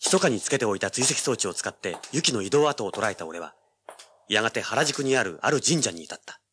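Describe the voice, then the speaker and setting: An actor playing a cocky, tough young treasure hunter, rough-spoken and sardonic, narrating and speaking in a hard-boiled audio drama. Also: male voice, fairly low pitch, animated, a little fast, a bit bright, a little hiss or hum. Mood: tense, cool. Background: dramatic music.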